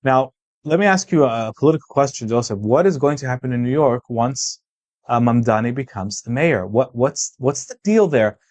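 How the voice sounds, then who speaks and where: A man's voice: mid-range voice, lively intonation, moderate pace, darkish tone, dry recording. A man from Israel hosting a political discussion, speaking emphatically and repeating phrases for weight. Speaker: podcast host interviewing a guest.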